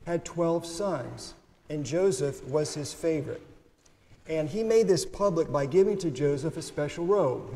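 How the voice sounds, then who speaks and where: A man in his fifties giving a deliberate, measured homily from a pulpit microphone in a church sanctuary. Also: on the high side, dark tone. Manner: measured, deliberate preaching read from notes. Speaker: priest. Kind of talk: sermon. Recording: reverberant church, faint room noise.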